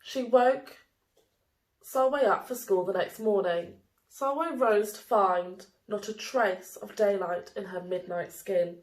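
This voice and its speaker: A woman reading a children's picture book aloud in an expressive, deliberate storytelling manner. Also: mid-range voice. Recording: roomy, echoey indoor sound.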